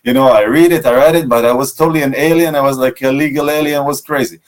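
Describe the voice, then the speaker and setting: Israeli-accented man, Russian native, raised pitch, chatty storyteller who keeps restarting. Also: very fast. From born in Russia, grew up in Israel. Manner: animated, rambling, many restarts and repeats. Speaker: TV director and co-creator. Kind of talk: remote video interview.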